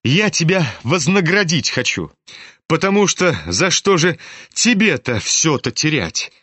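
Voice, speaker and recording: A man's voice: fairly high pitch, big pitch swings, a little slow, sharp tone, breathy edge, no room sound, heavily acted. A man reading an audiobook, voicing a proud, reckless young woman who taunts and commands. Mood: taunting, commanding. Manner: imperious, taunting.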